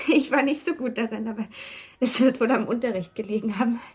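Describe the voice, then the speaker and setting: An actress playing an anxious, tender young mother burdened by guilt in a German radio play, with a breathy voice. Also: a little high, fairly quick, darkish tone, soft background music. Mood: strained cheer.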